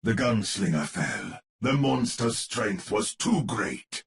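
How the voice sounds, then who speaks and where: Spooky storybook narrator for a horror game, super deep n slow, grave and dramatic. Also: male voice, very animated, creaky, full character voice. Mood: ominous, dramatic. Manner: theatrical, measured, ominous. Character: grave old-world storyteller narrating a gothic horror tale.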